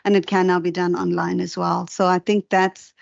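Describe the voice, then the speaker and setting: A woman with a low, flat voice explains things to a committee, halting over fillers. The recording is dry and muffled. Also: creaky, no echo. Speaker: department official answering a committee. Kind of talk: formal committee testimony.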